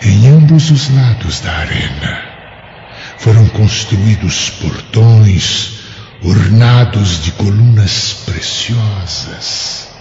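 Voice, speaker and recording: Radio-drama spirit role: worldly, sighing phantom, extremely slow and gravelly, dull faintly noisy sound. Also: male voice, fairly low pitch, animated, a little mellow, heavily acted. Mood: wistful awe. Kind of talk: radio drama acting, soliloquy. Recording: dull audio with some noise.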